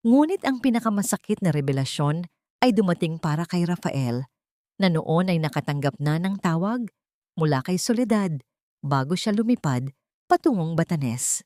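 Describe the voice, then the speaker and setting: A woman narrating a dramatic audio story in a steady, solemn manner. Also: lowish voice, normal speed, no echo, clean studio sound.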